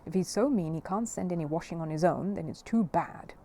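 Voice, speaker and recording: Woman voicing a dry, mellow-toned brusque housekeeper. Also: on the low side, a little fast. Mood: scornful. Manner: dry, pointed. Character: brusque, sceptical female housekeeper.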